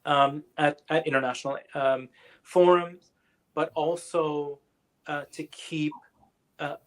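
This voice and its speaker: A man with a fairly high voice takes audience questions after a lecture. He talks slowly and with care, stopping often on fillers and starting his sentences over. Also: fairly even intonation, fairly bright tone. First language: English. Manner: thoughtful, hesitant, many fillers and restarts. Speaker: guest lecturer. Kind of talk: online lecture Q&A answer.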